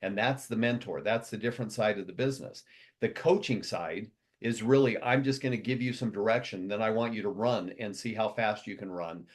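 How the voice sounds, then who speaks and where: A man who runs a company speaking to his members in a motivational address, fast and rambling, with many restarts and long lists. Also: lowish voice.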